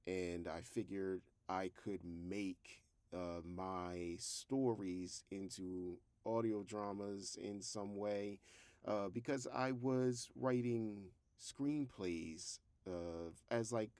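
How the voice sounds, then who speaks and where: A man's voice: low voice, a little mellow, no echo. A man talking casually as a vlog host, conversational and rambling, with a self-deprecating way of telling things. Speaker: host, fiction podcast creator. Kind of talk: casual vlog talk to camera.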